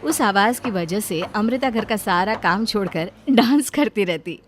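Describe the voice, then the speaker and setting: A woman's voice: mid-range voice, lively intonation, a little slow, a little hiss or hum. A woman reading scripted narration as a storyteller.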